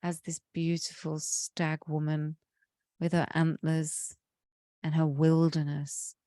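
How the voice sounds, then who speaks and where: A woman leading a guided meditation in a slow, soothing way, her voice slightly croaky from a cold. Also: deep voice, level tone, warm tone, dry recording, studio quality. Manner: slow, soothing, drawn-out phrasing. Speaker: meditation leader.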